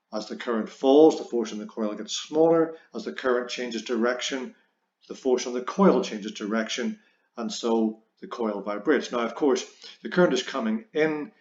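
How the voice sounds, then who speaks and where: A man's voice: mid-range voice, darkish tone. A man teaching a physics lesson, explaining step by step and stressing key words. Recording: roomy echo, dull lo-fi sound.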